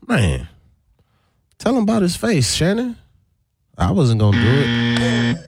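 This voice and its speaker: A young man co-hosting a radio show, joking around in slangy, animated banter. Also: mid-range voice, slight background noise. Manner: animated, laughing, slangy, quick to imitate others. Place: radio studio.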